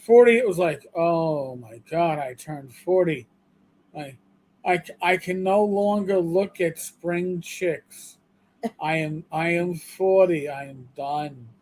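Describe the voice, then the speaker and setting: A man in his fifties hosts a livestream, his voice sitting fairly high. He talks at an unhurried pace, rambling and poking fun at himself, often stopping to restart a sentence. A faint hiss runs underneath. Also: darkish tone. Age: 50s. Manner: rambling and self-deprecating, with halting restarts. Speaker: livestream co-host. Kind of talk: casual chat.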